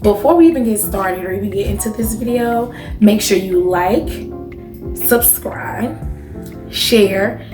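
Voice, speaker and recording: A woman in her thirties chats like a beauty vlogger in an echoey room, with music in the mix. Her pitch sits in the middle for a woman. She talks casually and familiarly to her audience, tossing in emphatic check-ins. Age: 30s. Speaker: beauty vlogger and channel host. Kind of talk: casual, chatty vlog talk to camera.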